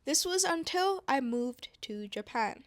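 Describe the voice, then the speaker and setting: A young woman from Indonesia chatting calmly to camera, soft-spoken with whispery asides and self-mocking laughs. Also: high voice, unhurried, dry recording. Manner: playful, laughing at herself. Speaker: vlogger. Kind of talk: calm casual chat to camera. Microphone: wireless clip-on mic.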